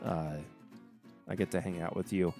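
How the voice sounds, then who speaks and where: A man's voice: deep voice, fairly even intonation, moderate pace, creaky, warm tone, faint music behind. A man hosting a podcast, wrapping up a solo episode in a rambling, chatty way with joking asides. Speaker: podcast host. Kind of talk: casual solo podcast outro.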